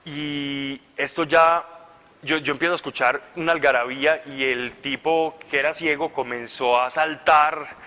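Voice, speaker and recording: A man preaching in an animated, storytelling way, with a forceful, bright voice set a bit high. He sounds like he is at a retreat, and his voice rings out with a long echo across a large hall. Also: a little slow. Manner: animated, conversational, laughing at his own stories. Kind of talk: sermon with storytelling.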